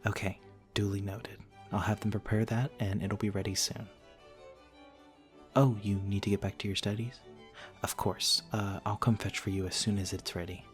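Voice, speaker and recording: Male actor for courteous vampire gentleman, ASMR audio drama. Deep low voice, old-fashioned formal diction, gentle and slightly hesitant, music underneath. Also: level tone, normal speed, natural voice. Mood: attentive, polite. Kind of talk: ASMR roleplay, soft-mannered dramatic monologue to the listener.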